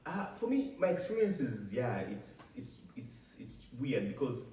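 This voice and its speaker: A young man from Uganda, a guest telling a story in a casual chat, animated and full of quick repetitions. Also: medium pitch, slight background noise. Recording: echoey room, mic at a distance, faint ambience.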